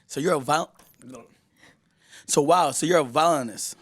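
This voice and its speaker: A man's voice: fairly high pitch, normal speed, a bit bright, no echo, studio quality. A man hosting a radio interview show, playful and hyped, sometimes stumbling over his words. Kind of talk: casual interview chat.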